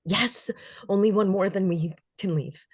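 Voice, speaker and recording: Woman playing an impatient, husky captive beauty queen. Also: mid-range voice, a little slow, dry recording, clean studio sound, close to an everyday voice. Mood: hopeful. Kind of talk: screenplay table read.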